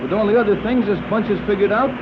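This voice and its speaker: Shrewd American adventurer captain played by a man, high for a male, blunt and folksy, dull noisy vintage radio recording. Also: quick pace, natural voice. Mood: shrewd reasoning. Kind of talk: 1930s radio serial acting. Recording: muffled, noisy old 1930s radio recording.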